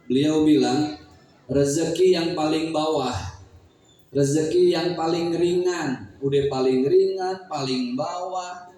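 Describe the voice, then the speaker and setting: Slow-talking Betawi preacher in an echoey hall. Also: male voice, fairly high pitch, mellow, a little hiss or hum. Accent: Betawi Malay. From Jakarta, Indonesia. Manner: joking, teasing the audience with questions. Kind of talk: humorous religious talk at a community gathering. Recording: echoey, distant hall sound.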